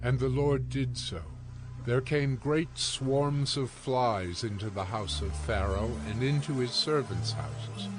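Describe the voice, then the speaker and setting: A man narrating scripture for a dramatized audio Bible in a measured, solemn storytelling manner. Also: medium pitch, music in the background.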